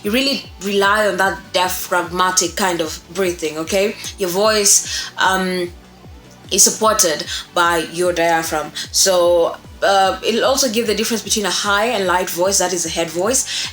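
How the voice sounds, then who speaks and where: A young woman presents a lesson in a conversational, emphatic way, with a mid-pitched voice. The room adds a clear echo around her words, and faint music plays underneath. She repeats key words and keeps checking in with the listener. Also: fairly bright tone. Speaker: voice-over course presenter, voice actor. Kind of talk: informal instructional talk to camera. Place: small room at home, indoors.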